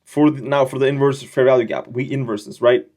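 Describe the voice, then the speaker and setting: A man's voice: mid-range voice, steady tone, brisk, darkish tone. A young man giving a casual trading lesson over his screen, explaining in a loose, chatty way with slangy, joking asides and frequent check-in questions. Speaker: trading educator.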